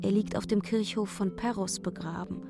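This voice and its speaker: A woman's voice: mid-range voice, fairly even intonation, moderate pace, gravelly, lightly acted. A woman playing a tender, nostalgic young opera singer who voices her letter formally and wistfully in a radio drama. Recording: harp music under the voice.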